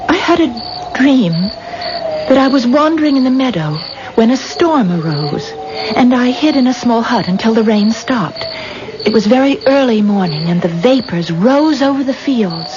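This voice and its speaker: An actress playing a grieving, dreamy young bride in a 1970s radio drama, earnest and pleading. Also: female voice, fairly high pitch, lively intonation, moderate pace, lightly acted. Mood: wistful, grieving. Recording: muffled, noisy old radio broadcast.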